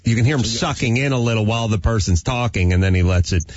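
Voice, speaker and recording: Husky-voiced, chatty male radio host. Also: fairly low pitch, fast talker, a little mellow, dry recording. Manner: chatty, enthusiastic. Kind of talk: casual radio chat. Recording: dull, dry studio sound, faint music.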